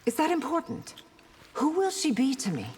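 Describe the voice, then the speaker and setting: A woman playing a proud, wary warrior princess in a video game, with a husky, breathy voice and a pointed manner. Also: high voice, big pitch swings, moderate pace, very noisy. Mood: guarded, challenging. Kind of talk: video game character acting. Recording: long hall-like reverb.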